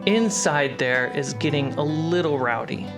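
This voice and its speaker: A man narrating a tabletop fantasy game as game master, in deliberate, suspenseful short phrases. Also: a little high, animated. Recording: music behind the voice.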